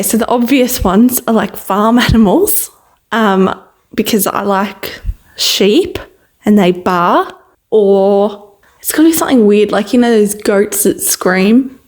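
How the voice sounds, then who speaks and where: A woman with a fairly high voice, talking casually as a game show contestant. She rambles, thinking aloud and cutting across her own sentences. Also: darkish tone. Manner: thinking aloud, self-interrupting.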